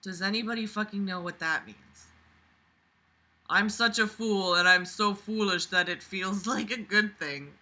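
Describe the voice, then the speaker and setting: A woman's voice: mid-range voice, a little slow. A woman reading a story aloud and breaking in with incredulous, sweary, theatrical commentary. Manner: animated outbursts and sarcastic asides. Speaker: host of a reading-and-reacting video.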